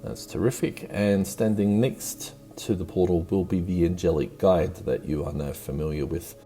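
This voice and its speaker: A man leading a guided meditation with gentle, encouraging, unhurried instructions. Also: low voice, steady tone. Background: music.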